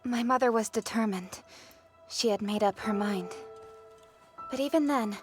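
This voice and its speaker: An actress playing an earnest, wistful young princess in a video game, speaking in a reflective, heartfelt way. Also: female voice, on the high side, measured pace, soft background music, lightly acted. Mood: wistful, solemn. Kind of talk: video game cutscene acting.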